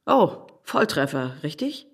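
A woman playing a sardonic, quick-witted married city woman in an audio drama, with a wry, teasing and theatrical delivery. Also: deep voice, big pitch swings, gravelly, husky, full character voice.

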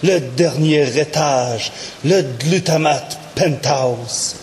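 Mad villain for audio drama, highish voice, mocking and theatrical, drawn out, big echo. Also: male voice, drawn-out words. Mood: gloating. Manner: theatrical, mocking, mixes in English words. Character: gloating mad villain boasting of his tower-top lair. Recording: long reverb, clear noise behind.

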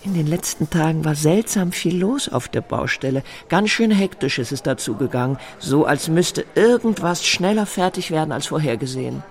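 An actor playing an insistent, menacing snoop with a grudge, in a naturalistic radio drama, formally polite and full of pointed questions. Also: male voice, on the high side, lively intonation, moderate pace, few pauses. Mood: bitter, threatening. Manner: formal address, pointed rhetorical questions, needling. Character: insistent, menacing snooping man with a grudge against big shots.

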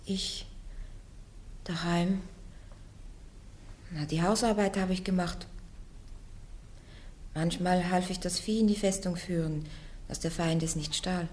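A woman's voice: lowish voice, fairly even intonation, slow, pauses often, darkish tone. Defiant young peasant woman. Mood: cheeky, stubborn. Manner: blunt, defiant, plain-spoken. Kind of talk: radio play acting.

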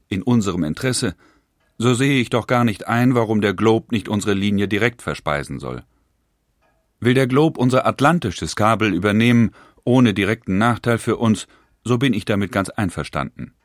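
A man's voice: lowish voice, moderate pace, no room sound, clean studio sound, lightly acted. An actor reading the letters of a skeptical 19th-century industrialist to his brother, grave and reflective. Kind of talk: reading letters aloud in a radio documentary.

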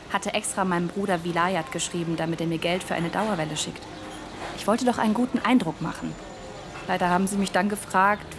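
A woman's voice: mid-range voice, noisy background, close to an everyday voice. An actress playing an earnest, polite young woman in the wartime resistance, chatty and eager, in a naturalistic radio drama.

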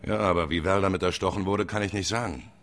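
A shaken suspect giving his account to police in a radio crime play. His voice is very deep, and he talks plainly and defensively. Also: steady tone, quick pace, fairly bright tone. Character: shaken man telling his side to police.

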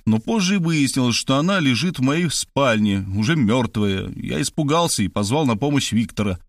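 A man's voice: mid-range voice, no echo, studio quality, close to an everyday voice. A man voicing a flustered, easily indignant man from a novel, anxious and self-justifying.

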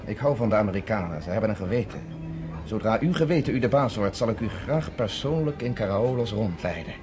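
An actor playing a confident, persuasive underground leader in a radio drama. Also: male voice, on the low side, lively intonation, a little fast, over music, natural voice.

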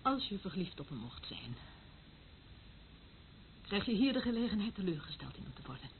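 An actress playing a bitter, mocking surveillance worker in a radio drama, with an intense, sardonic manner. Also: female voice, medium pitch, very animated, moderate pace, narrow, tinny audio. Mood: bitter, controlled. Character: bitter, mocking female eavesdropper instructing a newcomer. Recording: thin band-limited old radio recording, hiss.

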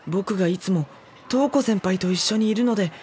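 An actress playing a mild, polite teenage schoolboy who also narrates in a drama CD. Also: female voice, mid-range voice, normal speed, darkish tone, a little hiss or hum, lightly acted. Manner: polite, flustered. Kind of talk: first-person narration and dialogue.

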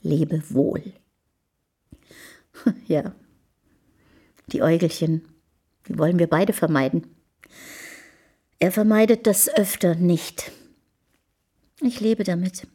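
An actress in a German radio play playing a proud, self-assured middle-aged wife of a poet, speaking in formal, measured period diction. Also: female voice, lowish voice, warm tone, breathy edge, full character voice. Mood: tender, wistful.

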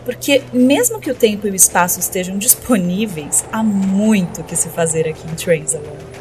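A breezy, adventurous alien queen played by a woman in an audio drama. She speaks with a Brazilian accent at a middle pitch, over clear background music. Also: animated, normal speed, natural voice. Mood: breezy, eager.

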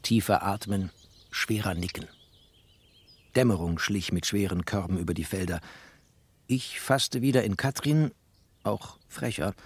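An actor playing a bookish, sardonic refugee who narrates in a literary, poetic and opinionated way, as in a radio drama. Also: male voice, on the low side, unhurried, long pauses, husky. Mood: quiet, poetic. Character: bookish, sardonic male first-person narrator, a postwar refugee.

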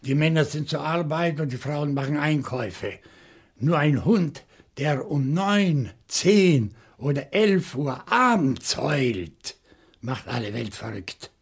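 A shrewd professor sleuth in a radio crime drama. His voice is husky and sits a little high for a man. He builds his case slowly and theatrically, with an indignant edge. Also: animated, full character voice. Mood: grim, resolute. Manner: deliberate, theatrical, reasoning step by step. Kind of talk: German radio crime play acting.

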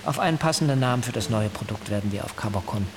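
An actor playing a zealous, self-righteous German cult founder who speaks pompously and formally in a radio drama. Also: male voice, lowish voice, expressive, faint music behind, close to an everyday voice. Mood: earnest, lofty. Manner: pompous, measured, formal speech. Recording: hiss, long reverberant room.

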